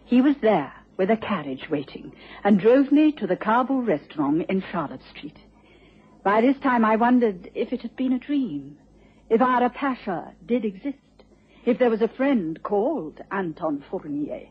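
Husky, earnest doctor's wife. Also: female voice, mid-range voice, big pitch swings, normal speed. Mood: anxious, reflective. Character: earnest, anxious doctor's wife telling her story. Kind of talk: radio drama narration and acting. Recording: dull lo-fi radio broadcast.

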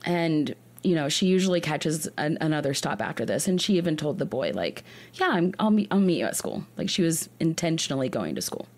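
A woman's voice: deep voice, sing-song, fairly quick, hardly any pauses, a little mellow. A woman hosting a true crime podcast, telling a case in an earnest way, often restarting her sentences. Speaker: podcast host.

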